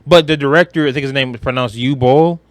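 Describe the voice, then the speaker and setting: A man co-hosting a podcast, chatting casually about movies and games in an animated, exclamatory, slangy way. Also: medium pitch, fast, dry recording. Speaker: podcast co-host.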